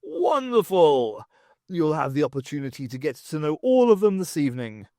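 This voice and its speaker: A man playing a pompous, jovial fairytale king with a booming, genial and self-satisfied manner in a fan audiobook. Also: big pitch swings, normal speed, warm tone, no echo, studio quality. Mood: delighted.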